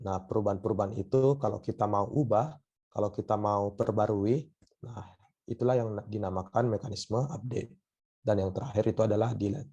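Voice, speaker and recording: A hoarse man lecturing a university class over an online call, his voice a little low and dark, with a dull lo-fi sound. He is coughing a bit and often stalls on filler sounds as he explains. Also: steady tone, a little fast. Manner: hesitant, many filler sounds.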